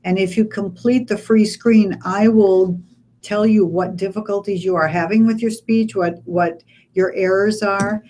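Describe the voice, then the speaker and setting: A woman with a Midwestern American accent, a speech pathologist guest on a radio interview, explaining things in a measured, step-by-step way. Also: mid-range voice, fairly even intonation, dark tone, slight background noise. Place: radio studio.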